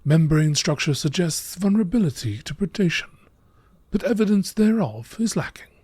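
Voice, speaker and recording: A man reading scripted text as a narrator in nature documentary style, with a measured, matter-of-fact, formal delivery. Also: sits high, animated, a little mellow, husky, dry recording. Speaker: narrator reading out game lore.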